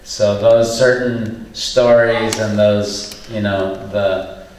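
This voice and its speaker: A man on a surf panel answering at length, earnest and halting, with many fillers. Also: on the low side, steady tone, a little mellow, slight background noise. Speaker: panelist, son of a surfer. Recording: echoey hall, voice from far off.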